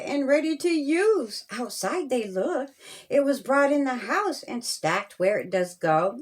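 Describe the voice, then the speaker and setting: A woman reading a children's storybook aloud as its author, in a measured, sing-song rhyming delivery. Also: on the high side.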